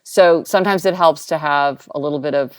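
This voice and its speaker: A middle-aged woman co-hosting a podcast, her voice sitting a little low for a woman. She talks in a casual, upbeat way, exclaiming often and running through links and resources. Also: brisk, clean tone. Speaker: podcast co-host.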